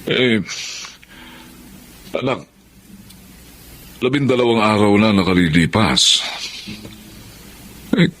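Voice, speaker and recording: A man's voice: on the low side, animated, slow, pauses often, fairly bright tone, heavily acted. A man acting as an anxious, grieving middle-aged father with a Filipino accent in a radio drama.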